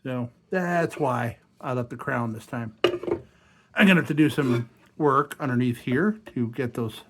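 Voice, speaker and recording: A hoarse man talking casually to himself as he restores an old lantern at a workshop bench, thinking aloud. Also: medium pitch, unhurried, a little hiss or hum. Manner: chatty, thinking aloud while working. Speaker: restoration channel host.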